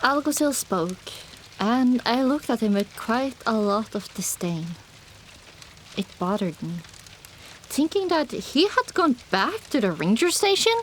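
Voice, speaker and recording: Female narrator, fairly high voice, expressive scripted fiction reading, faint rain noise. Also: poor audio. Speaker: audiobook narrator.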